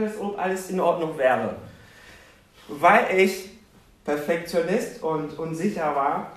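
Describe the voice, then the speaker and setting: Animated preacher in an echoey hall. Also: male voice, a little high. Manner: animated, self-deprecating, asks the congregation questions. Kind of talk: sermon with personal storytelling. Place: church hall with congregation. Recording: roomy, distant church recording.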